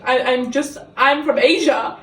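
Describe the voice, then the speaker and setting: A young woman from the Middle East, not a native English speaker, gushes and giggles through a rambling book review. Her voice is high, and it echoes around a kitchen from a few steps away from the camera. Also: unhurried, far from the mic. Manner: giggly, excitable, self-mocking.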